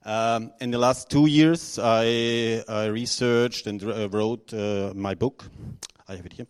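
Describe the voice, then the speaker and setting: A middle-aged man from Austria, speaking unscripted to an audience, halting and nervous, with many restarts and fillers. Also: on the low side, steady tone, clean tone. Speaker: conference speaker.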